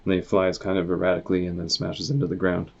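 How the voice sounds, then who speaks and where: A man's voice: sits low, flat delivery, fast talker, gravelly, slightly rough. A man reading a comic story aloud in a casual way, acting out the dialogue and noises. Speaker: author reading their own comic aloud. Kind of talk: animated storybook read-aloud with sound effects. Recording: dull, muffled lo-fi sound.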